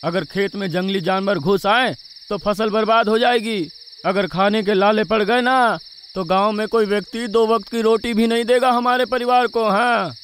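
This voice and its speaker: Village farmer husband for horror cartoon, male actor: high-pitched, worried but argumentative, light background noise. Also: fairly even intonation, moderate pace, fairly bright tone. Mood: stubborn, irritated. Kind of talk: dramatised Hindi horror cartoon story.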